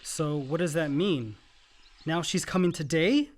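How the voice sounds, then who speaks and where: An actor playing a dutiful but resentful son, matter-of-fact and curt, in a naturalistic radio play. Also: male voice, fairly high pitch, measured pace, close to an everyday voice.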